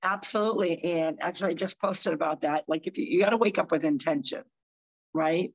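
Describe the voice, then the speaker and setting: Hoarse, gravelly woman on a lo-fi call. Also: on the low side, fairly quick. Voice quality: scratchy voice. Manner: upbeat, encouraging, rambling asides. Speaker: co-host of a live talk show. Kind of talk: casual motivational chat.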